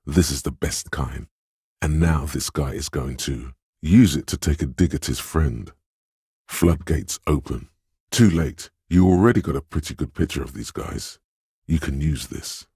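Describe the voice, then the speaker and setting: A sly, persuasive voice inside the head for a video game. It sits at the very bottom of the male range and is thick with husk. The delivery is measured and knowing, sizing people up and nudging the listener, in a close, dry recording. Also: extremely low pitch, mellow, breathy edge, dry recording, full character voice. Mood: knowing, coaching. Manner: measured, knowing, insinuating coaching. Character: Suggestion, a sly insinuating inner voice reading people and coaching. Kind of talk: scripted video game narration.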